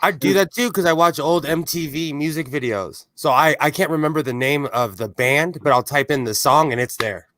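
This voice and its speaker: A man's voice: fairly high pitch, normal speed, few pauses, a bit bright, no echo. A man chatting casually as a guest on a video call. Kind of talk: casual group chat.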